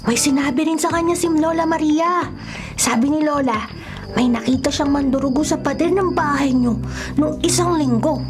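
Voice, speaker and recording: A woman's voice: sits high, moderate pace, natural voice. A woman playing an eager young boy in a Filipino radio drama, a loyal friend who talks confidingly over music. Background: background music.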